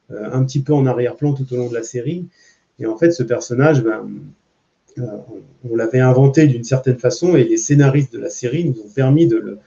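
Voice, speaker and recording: Very mellow, informal Frenchman, muffled sound. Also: mid-range voice, vocal fry. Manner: informal, hesitant, searching for words. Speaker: comics artist, guest on a panel. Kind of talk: casual panel talk. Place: public panel at a comics festival. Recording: muffled, dull recording.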